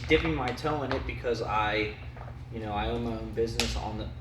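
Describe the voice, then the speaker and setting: A man, a musician guest, chatting casually in an interview, halting and full of "like" fillers. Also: medium pitch. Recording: roomy, distant mic with background noise.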